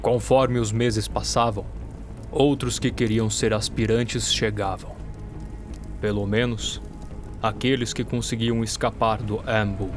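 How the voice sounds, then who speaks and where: A man's voice: lowish voice, steady tone, measured pace. A man with a Brazilian accent giving dramatic first-person narration for an audio drama. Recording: music bed under the voice. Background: music.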